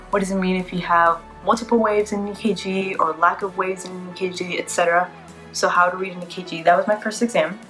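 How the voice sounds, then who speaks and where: Congested woman vlogger, low voice. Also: a bit bright. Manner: chatty, apologetic, tired. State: congested from a cold. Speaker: vlogger, PA student. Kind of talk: casual vlog talk to camera.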